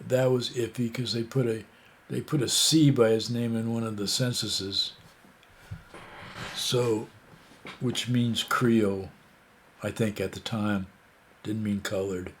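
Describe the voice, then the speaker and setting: An American man talks in an unhurried, rambling way, stopping often for fillers and restarts. His tone is indignant as he comments on the news, and his slow delivery lets each point drag out. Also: fairly low pitch, steady tone, a little hiss or hum. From United States. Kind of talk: casual opinion monologue.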